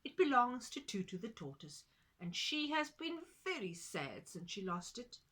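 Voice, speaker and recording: A polite wild duck speaks firmly and kindly, as a woman puts him on. His voice is bright and clear, a touch loud. It is a children's storybook read-aloud. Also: a little high, big pitch swings, unhurried, full character voice. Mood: caring. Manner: polite, firm.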